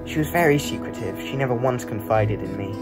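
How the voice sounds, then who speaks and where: A man's voice: mid-range voice, brisk, lightly acted. A young man playing a dutiful, guarded butler in a radio play, speaking with stiff, proper formality. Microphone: video call. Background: prominent music.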